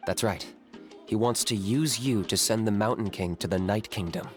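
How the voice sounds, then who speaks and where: A man as a low-voiced, coolly blunt game character. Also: over music, close to an everyday voice. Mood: blunt, calm. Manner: matter-of-fact, unhurried, dry. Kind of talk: video game character acting.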